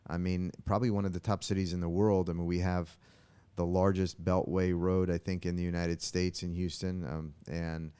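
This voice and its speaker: Deep, smooth-voiced male interviewer. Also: little pitch movement, normal speed, few pauses, mellow. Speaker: talk show host, interviewer. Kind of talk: casual interview conversation.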